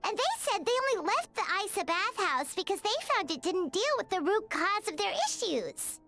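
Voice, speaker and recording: Squeaky tiny childlike companion creature. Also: female voice, expressive, normal speed, fairly bright tone, faint music behind, full character voice. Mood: chatty, curious. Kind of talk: video game dialogue acting.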